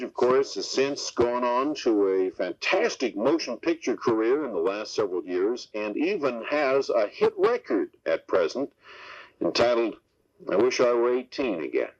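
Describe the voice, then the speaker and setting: Very animated American male radio presenter. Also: medium pitch. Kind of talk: scripted radio announcing. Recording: slightly muffled radio broadcast tape.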